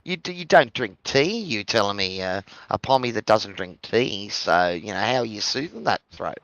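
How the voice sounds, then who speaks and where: A man co-commentating a sim race in a relaxed, friendly way, giving drivers encouragement. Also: on the low side, normal speed, no room sound, lo-fi sound. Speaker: co-commentator and streamer. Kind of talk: casual commentary banter.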